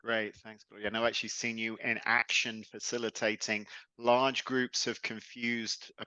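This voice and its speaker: A man hosting a podcast interview in a relaxed, chatty way, with plenty of fillers. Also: on the low side, dry recording, dull audio. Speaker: podcast host. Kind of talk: casual interview chat.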